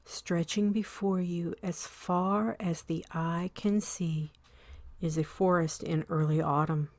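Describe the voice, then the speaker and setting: A woman narrating a scripted guided meditation in a soothing, measured way, with a soft voice that often fades toward a whisper and long pauses between short phrases. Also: lowish voice, steady tone, dry recording.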